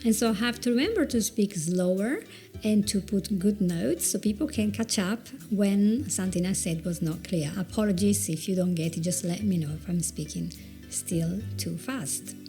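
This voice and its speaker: A middle-aged woman with an Italian accent, giving video presenting tips in an earnest, chatty teaching monologue, speaking slowly with emphatic stresses. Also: medium pitch, dark tone, faint music behind.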